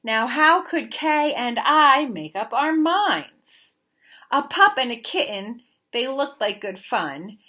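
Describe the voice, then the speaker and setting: Bright, very animated woman reading a storybook. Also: sits high. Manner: expressive, deliberate, sing-song emphasis. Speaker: teacher reading a picture book aloud. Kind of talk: animated children's storybook read-aloud.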